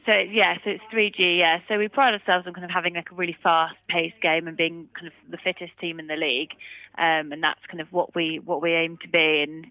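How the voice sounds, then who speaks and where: A woman's voice: on the low side, flat delivery, brisk, no echo. A woman chatting casually as a rugby player being interviewed over the phone, giving loose, run-on answers. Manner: hedging, loose run-on answers with "kind of" and "you know". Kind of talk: casual post-match interview chat. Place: phone call. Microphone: telephone. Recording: muffled narrowband phone line.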